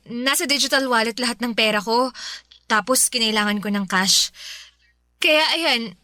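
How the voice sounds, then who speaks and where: An evasive, easily irritated young girlfriend in a radio drama. Her high, sharp voice has a Filipino accent and a scolding, defensive manner. It is recorded very dry. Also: no echo. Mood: nervous, evasive. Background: faint music.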